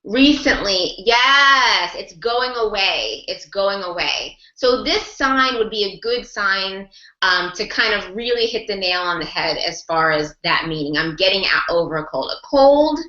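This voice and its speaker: A woman's voice: mid-range voice, expressive, a little slow, bright, forceful tone, roomy sound, dull audio. A woman mentoring a group of sign language interpreters, encouraging and talking through examples with lots of rephrasing and repetition. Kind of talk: online group class discussion.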